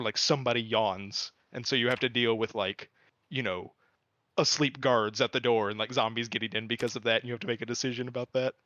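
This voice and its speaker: A man explains things in a loose, rambling way, full of fillers like "like" and "you know". His mid-pitched voice comes through a dull, slightly muffled mic with no room sound. He is chatting casually with friends. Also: sharp tone, no echo. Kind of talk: casual chat.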